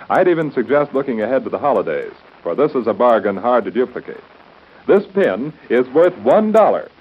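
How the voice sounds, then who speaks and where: Muffled 1930s radio pitchman. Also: husky. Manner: polished, persuasive salesman delivery. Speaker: radio announcer. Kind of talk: 1930s scripted radio commercial. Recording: muffled, narrow-band 1930s radio broadcast.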